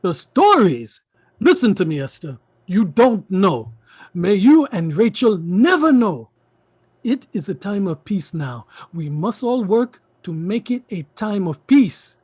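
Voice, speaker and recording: An emphatic middle-aged uncle in a stage drama acted by a man, coaxing and indignant by turns. His pitch swings widely as he pleads and repeats himself, and the sound is dry and close. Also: big pitch swings, unhurried, a little mellow, slightly rough, no room sound, full character voice. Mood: pained, earnest. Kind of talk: theatrical stage play reading.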